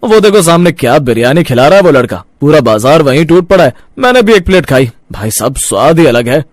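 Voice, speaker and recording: A man's voice: a little high, sing-song, a little fast, no echo, clean studio sound. A man voicing a frank, chatty regular customer at a street food stall in a cartoon moral story. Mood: frank, enthusiastic. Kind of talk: acted dialogue in a Hindi moral cartoon story.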